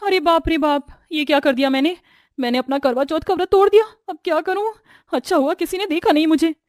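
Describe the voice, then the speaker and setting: Woman acting a whiny, lazy young wife, high-pitched. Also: brisk, no room sound, studio quality, natural voice. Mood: dismayed. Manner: complaining, self-pitying, wheedling. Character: lazy, whining young daughter-in-law.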